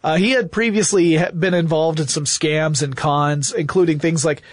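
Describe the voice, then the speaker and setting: A man with a slightly high voice co-hosts a podcast in a conversational storytelling style. He adds wry, emphatic asides as he goes. The recording is dry, with little room sound. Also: fairly even intonation, normal speed, no room sound. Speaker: podcast co-host.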